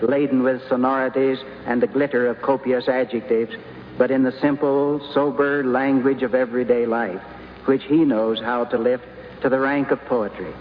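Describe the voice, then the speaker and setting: A man interprets a lecture in formal, measured sentences. His mid-pitched voice rings through a long hall reverb on a thin, phone-like, noisy old recording. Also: fairly even intonation.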